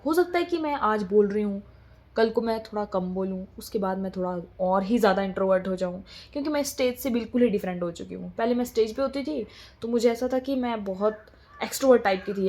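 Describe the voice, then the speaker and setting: A woman's voice: a little high, a little mellow. A young woman vlogging in casual, rambling chat, often switching between Hindi and English.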